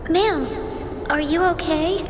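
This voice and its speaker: A woman's voice: extremely high pitch, takes it slowly, noisy background. A woman voicing an earnest, hesitant young girl with fire powers in a video game. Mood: concerned. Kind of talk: acted video game dialogue. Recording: roomy reverb with music behind.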